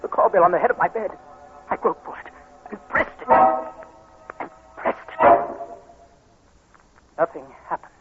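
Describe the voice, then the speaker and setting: A man's voice: high voice, moderate pace, long pauses, soft background music, lightly acted. An actor playing an anxious, haunted blind man in a tense, dramatic 1940s radio suspense play, also narrating in first person. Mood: rising terror. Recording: dull, noisy 1940s radio recording.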